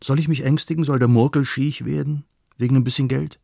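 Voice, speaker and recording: A man's voice: mid-range voice, steady tone, a little fast, creaky, dark tone, no room sound, studio quality, voice pushed up. A man reading the part of an anxious, pleading young pregnant wife in a novel, urgent and coaxing. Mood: indignant.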